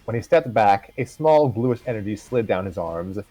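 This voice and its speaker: A man's voice: lowish voice, expressive, moderate pace, dark tone, poor audio. A man reading a fan story aloud on a livestream, casually, with stumbles and asides.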